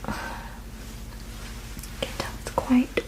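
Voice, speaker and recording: Soft whispering woman, soothing ASMR roleplay. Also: rough recording. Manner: soothing, reassuring, unhurried. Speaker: ASMR performer playing a witch sleep therapist.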